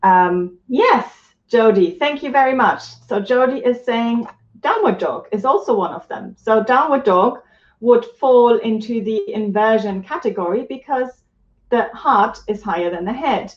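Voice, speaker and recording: A woman's voice: a little high, lively intonation, unhurried, reverberant, highs cut off. A woman from Germany teaching yoga on a live stream, chatting casually and reading out viewer comments.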